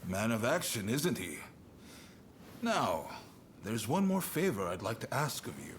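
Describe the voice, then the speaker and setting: A man's voice: medium pitch, sing-song, measured pace, slight background noise. A man playing an affable, commanding shogunate military officer in a video game cutscene, hearty and persuasive. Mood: businesslike.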